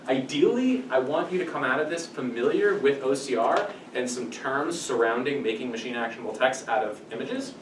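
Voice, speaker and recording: Echoey, distant man presenting a workshop. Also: medium pitch. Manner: conversational, explanatory. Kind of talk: informal introductory lecture. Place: small meeting room, indoors. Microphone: distant camera microphone. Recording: echoey room from afar, noisy.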